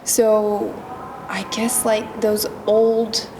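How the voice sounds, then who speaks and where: A young woman with a Japanese accent, a singer answering interview questions softly and thoughtfully, with many fillers. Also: mid-range voice, monotone, recorded from a distance. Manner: soft, thoughtful, slow with many fillers and giggles. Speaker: singer-songwriter guest. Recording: echoey convention room with noise.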